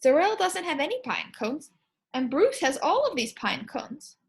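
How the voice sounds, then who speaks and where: A woman teacher reading a picture book aloud to children, expressively, pausing to ask questions and explain things simply. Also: high-pitched, big pitch swings, gravelly. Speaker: primary school teacher.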